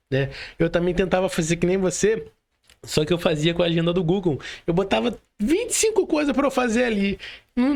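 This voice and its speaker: A man with a notably high voice and a Brazilian accent hosts a podcast. He talks casually and motivationally, explaining step by step and often tossing in little check-in questions. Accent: Brazilian Portuguese. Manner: explanatory, frequent check-in tags. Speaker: podcast host.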